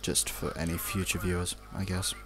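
A man's voice: sits low, flat delivery, moderate pace. A young Australian man giving casual, off-the-cuff video game commentary, rambling in an offhand mutter and swearing now and then. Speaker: let's play commentator.

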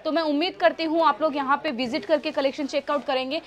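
A young Indian woman in her twenties giving a talkative, persuasive sales pitch as she shows goods to camera. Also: steady tone, fast talker, fairly bright tone. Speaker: shop sales presenter. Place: indoor textile showroom.

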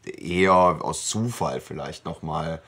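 A man's voice: a bass voice, slow, bright, forceful tone, slightly rough. A man co-hosting a podcast, talking casually in an informal discussion. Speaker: podcast co-host.

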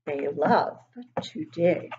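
A woman in her sixties reading a picture book aloud to young children, expressive and encouraging, stopping to put questions to them. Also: low-pitched, very slow, dull audio. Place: living room at home, indoors.

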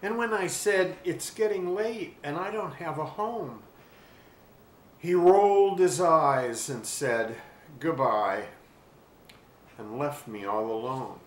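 Slow-reading older man, roomy home recording. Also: a little high. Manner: deliberate, with pauses to describe the pictures. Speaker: children's book reader. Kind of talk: expressive storybook read-aloud. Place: small furnished room at home. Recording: roomy webcam sound with faint hiss.

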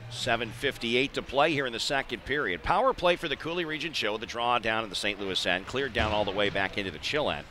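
American male hockey announcer over clear background noise. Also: medium pitch, a little fast, hardly any pauses, bright, forceful tone. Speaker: ice hockey play-by-play commentator. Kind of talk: live sports commentary. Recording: live arena broadcast with clear background noise.

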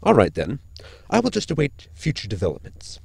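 A man's voice: medium pitch, huge pitch swings, a little fast, gravelly, darkish tone, breathy edge, no echo, heavily acted. A man playing a cautious, fussy spaceship crewman in a sci-fi comedy audio drama, formal and hesitant. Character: Peter, cautious, fussy male shipmate.

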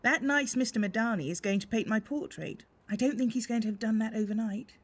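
A woman's voice: a little high, brisk, slightly rough. An actress playing a bossy but concerned woman in a radio soap, firm and no-nonsense.

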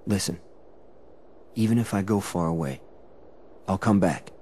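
An actor playing a brooding, withdrawn young swordsman in a video game, terse and halting. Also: sits low, darkish tone, a little hiss or hum. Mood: guarded, hesitant. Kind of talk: video game cutscene acting.

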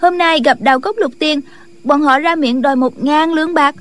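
A woman's voice: high voice, moderate pace, fairly bright tone, voice pushed up. A woman voicing an earnest, respectful young female disciple in a martial arts audiobook, girlish and deferential. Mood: eager.

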